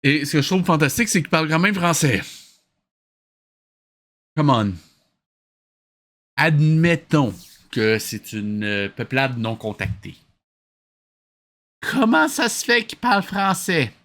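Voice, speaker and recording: A man's voice: a little high, very animated, slow, pauses often, fairly bright tone. A man with a Québécois French accent giving casual, sarcastic, exasperated commentary as host of a reaction show.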